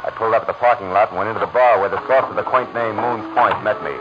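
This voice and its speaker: A man's voice: on the low side, fairly even intonation, fast, noisy background, close to an everyday voice. An actor playing a weary, wry, hard-boiled male private detective in an old radio noir drama. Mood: brooding, wry. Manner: terse, wry, tough. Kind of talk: 1940s-50s radio noir narration and acting. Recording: old muffled radio recording, loud music.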